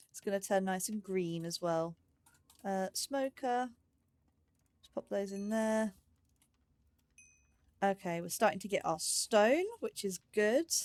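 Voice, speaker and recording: A woman's voice: mid-range voice, darkish tone. A woman giving casual, chatty let's play commentary, thinking aloud with playful, cutesy wording.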